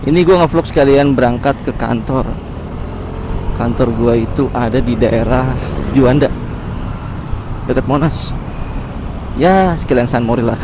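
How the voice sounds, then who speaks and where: A man's voice: medium pitch, measured pace, long pauses. A man with a Jakarta Indonesian accent chatting casually and slangily to viewers while he rides a motorbike outdoors. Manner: informal, slangy, addressing viewers; talking while riding a motorbike. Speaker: motovlogger. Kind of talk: casual vlog chat. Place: outdoors on a motorbike on city roads. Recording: music behind, outdoor road and vehicle sounds. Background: music and vehicle noise.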